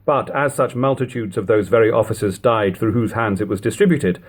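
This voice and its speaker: A man reading an old historical narrative aloud in a measured, expressive way, as an audiobook reader. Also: lowish voice, husky. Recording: lo-fi amateur home recording.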